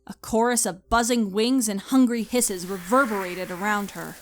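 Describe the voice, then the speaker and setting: A woman giving a scripted, expressive and deliberate dramatic narration of a story. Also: medium pitch, faint music behind.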